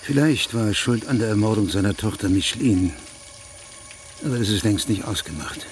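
An actor playing a stern, methodical investigating judge in a radio drama, measured, probing and authoritative. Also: male voice, on the low side, slight background noise, close to an everyday voice. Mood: accusing, stern.

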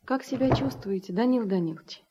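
An actress playing a caring but sharp-tongued young farm woman in a radio drama. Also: a little high, lively intonation, fairly quick, background noise, close to an everyday voice. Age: young adult. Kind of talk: Soviet radio drama acting.

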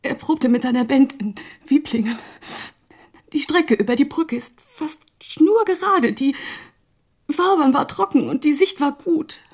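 An actress playing a grieving, doubting woman in a radio drama, speaking haltingly with a breathy, husky voice. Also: high voice. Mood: subdued, insistent. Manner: hesitant, halting, hushed. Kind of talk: naturalistic radio drama acting.

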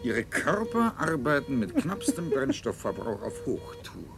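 A man's voice: medium pitch, huge pitch swings, measured pace, vocal fry, exaggerated voice. A man playing a cold, self-satisfied scientist in a German sci-fi radio play, speaking theatrically and clinically, relishing each word. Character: cold, self-satisfied male scientist-ruler extolling his breeding system. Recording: radio drama with music underneath.

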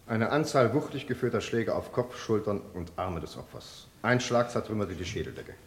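An actor playing an experienced, formal medical examiner giving exact clinical testimony in a German radio crime drama. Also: male voice, on the low side, lively intonation, normal speed, lightly acted. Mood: matter-of-fact. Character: experienced medical examiner testifying, precise, matter-of-fact man. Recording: echoey courtroom sound, faint noise.

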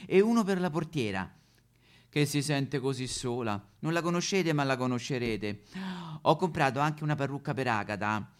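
Man playing a coy gossipy neighbour, swooping pitch. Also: lively intonation, normal speed, lightly acted. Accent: Roman. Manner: coy, gossipy, with giggles and verbal tics. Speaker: solo stage performer. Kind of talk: theatrical comic character monologue.

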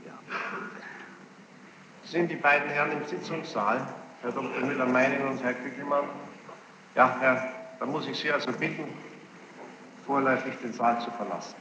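A man speaking as a presiding judge, questioning a witness in a stern, insistent, formal and halting way. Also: mid-range voice, fairly even intonation. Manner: formal, long-winded, halting sentences. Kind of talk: courtroom questioning. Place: large reverberant courtroom. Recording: muffled, noisy, echoey archival courtroom tape. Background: clear tape noise and room ambience.

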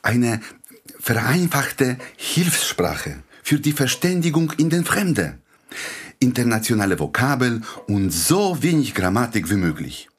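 Husky, animated idealistic doctor. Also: male voice, mid-range voice, measured pace. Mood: hopeful, wry. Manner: courteous, earnest, gently ironic. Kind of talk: radio play acting.

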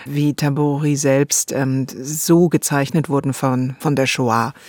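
A woman's voice: extremely low pitch, steady tone, takes it slowly, a little mellow, no echo. Female radio host, emphatic literary talk, thinking aloud, close dry studio. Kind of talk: literary discussion.

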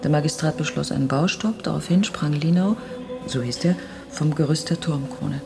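An actress in a naturalistic radio drama playing a sceptical, knowledgeable tourist with a dry, matter-of-fact manner. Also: female voice, low voice, normal speed, gravelly. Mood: dryly explaining.

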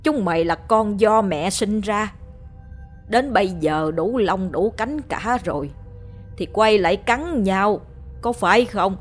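A woman's voice: mid-range voice, lively intonation, slow, soft background music. A woman voicing a weak, reproachful elderly mother.

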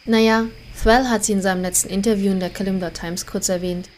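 A woman's voice: medium pitch, fairly even intonation, fairly quick, slight background noise, lightly acted. A woman playing a confident, bossy young elf mage in a comedic audio drama. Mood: self-assured. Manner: self-assured, haughty.

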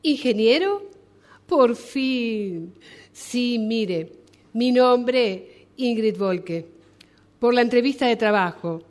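A woman acts a polite job applicant in a radio play, hesitant and apologetic. Her voice is fairly high, and she speaks slowly and carefully into the phone. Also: expressive, a little mellow. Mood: flustered, apologetic. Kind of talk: radio drama acting, one side of a phone call.

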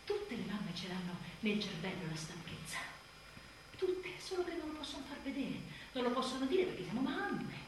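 A woman's voice: sits high, very animated, moderate pace. An actress playing an exhausted young mother on the edge of breakdown in a theatrical monologue, repetitive and volatile as she tries to soothe herself. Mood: weary confiding. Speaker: stage actress. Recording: very echoey, distant, noisy stage recording.

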